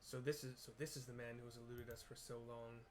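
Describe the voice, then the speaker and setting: A man acts a courteous commander of archers in a fantasy game. He speaks with measured, stately military formality. The recording carries some noise. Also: medium pitch, little pitch movement, a little mellow, natural voice. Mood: formal, grateful.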